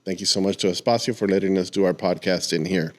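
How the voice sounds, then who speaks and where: Low-voiced man hosting a casual podcast. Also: steady tone, fast, darkish tone. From born in Mexico, moved to the US at age four. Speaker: podcast host. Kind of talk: casual podcast interview chat.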